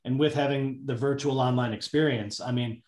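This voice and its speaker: A man's voice: medium pitch, fairly even intonation, moderate pace, darkish tone. A man moderating a panel discussion in a conversational way over a video call. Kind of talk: conversational interviewing. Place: indoor room, on a video call. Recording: roomy, echoey video call.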